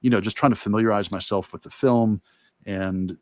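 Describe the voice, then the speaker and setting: A man's voice: fairly low pitch, steady tone, brisk, dry recording, studio quality. A man speaking casually and reflectively as a guest illustrator explaining his work on a livestream, thinking aloud as he goes. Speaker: guest illustrator and art teacher.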